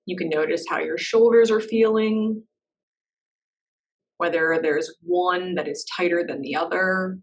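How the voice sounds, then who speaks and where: A woman leading a guided meditation in a soft, slow, reassuring way, with gentle pauses between phrases. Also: on the low side, recorded from a distance, poor audio.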